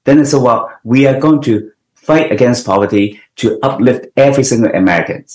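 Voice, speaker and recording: A man's voice: mid-range voice, a little fast, darkish tone. Earnest older man in a roomy space. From Hong Kong. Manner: earnest, explanatory, with frequent restarts. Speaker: guest. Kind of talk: interview answers. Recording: roomy echo.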